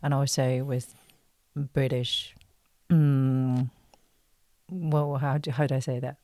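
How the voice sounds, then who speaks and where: Female interview guest, Chinese accent, non-native English speaker. Casual, halting delivery in simple phrases, very dry sound. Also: a bass voice, flat delivery, dark tone, dry recording. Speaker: actress, podcast guest.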